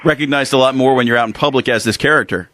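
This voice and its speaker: A man hosting a radio interview, asking questions haltingly and stumbling over them. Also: medium pitch, a bit bright, dry recording. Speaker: radio host.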